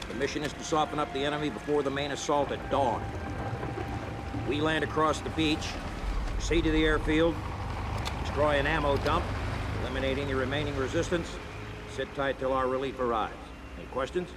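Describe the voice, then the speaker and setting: An actor playing a gruff, resolute American Marine officer in a war game, giving clipped, no-nonsense briefings. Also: male voice, fairly high pitch, fairly even intonation, normal speed, pauses often, noisy background, natural voice. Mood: brisk, firm.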